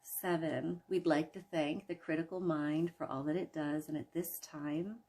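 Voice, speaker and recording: A woman leading a guided imagery relaxation in a soothing, gentle manner, leaving long pauses between phrases. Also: sits low, steady tone. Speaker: guided meditation host.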